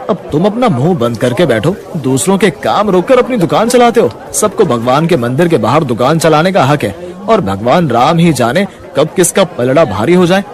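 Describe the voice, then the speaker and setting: A man's voice: fairly high pitch, voice pushed up. A man doing a confident kind young buyer.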